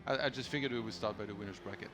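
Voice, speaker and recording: A man's voice: on the low side, very fast. A man commentating on an esports tournament in a casual, joking, self-deprecating way. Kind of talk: casual banter during StarCraft tournament commentary. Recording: music behind the voice. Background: music.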